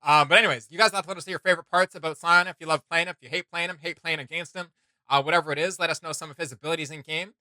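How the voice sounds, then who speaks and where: Bright-voiced man rambling through a casual reaction. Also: a little high, fairly even intonation, racing, no echo. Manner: rambling, thinking aloud. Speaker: reaction video host.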